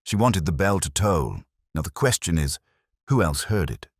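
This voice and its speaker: A man's voice: deep voice, big pitch swings, moderate pace, breathy edge, dry recording, studio quality, exaggerated voice. A man voicing an intense, theatrical Victorian detective in an audiobook, with declamatory, clipped phrases. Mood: grave, tense. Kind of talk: audiobook character dialogue.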